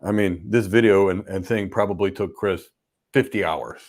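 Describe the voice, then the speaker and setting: Low voiced host guy, touched and grateful, soft-spoken thanks. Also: moderate pace. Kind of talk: live stream talk.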